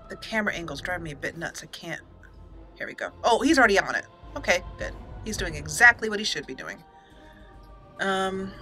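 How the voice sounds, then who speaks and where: Female let's play host: bright, forceful voice, chatty and casual, faint music underneath. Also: medium pitch. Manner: chatty, talks aloud to game characters. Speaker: gaming channel host.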